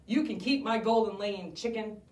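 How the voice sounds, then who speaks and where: Woman playing a grumpy giant, low booming voice, loud, roomy sound off the mic. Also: normal speed, recorded from a distance, lightly acted. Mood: gruff. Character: boastful grumpy giant man.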